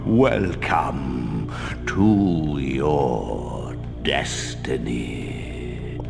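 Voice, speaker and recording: An actor playing an ancient, mysterious otherworldly sage in a video game, with a solemn, portentous, ceremonial delivery. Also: male voice, extremely low pitch, expressive, extremely slow, gravelly, exaggerated voice. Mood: solemn, welcoming. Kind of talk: dramatic video game acting. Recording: long reverb with prominent music.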